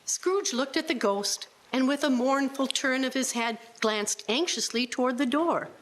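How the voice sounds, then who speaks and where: A woman's voice: high voice, a little slow. A woman narrating a scripted story in a radio-play style.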